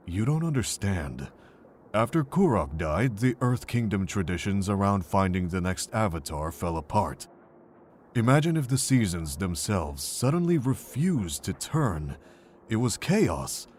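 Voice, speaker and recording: Man acting a deep-voiced, weary monk. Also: sing-song, measured pace, darkish tone, a little hiss or hum. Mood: grave, earnest. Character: weary, kindly older monk recounting the past. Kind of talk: fan visual novel voice acting.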